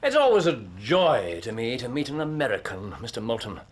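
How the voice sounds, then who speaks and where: An actor playing a brilliant, urbane English detective in a period radio drama, speaking precisely and theatrically. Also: male voice, medium pitch, very animated, brisk. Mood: courteous, expansive.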